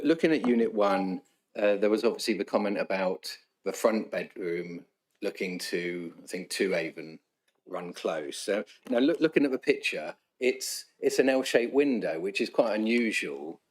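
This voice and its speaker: A man chairing a council planning committee, polite but halting, often restarting words. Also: fairly low pitch, animated, a little mellow.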